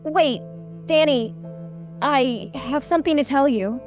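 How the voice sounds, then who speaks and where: A woman playing a gentle, anxious young princess in a fantasy audio drama, speaking in a halting, heartfelt way. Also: high-pitched, muffled recording, faint music behind. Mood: sorrowful, hesitant.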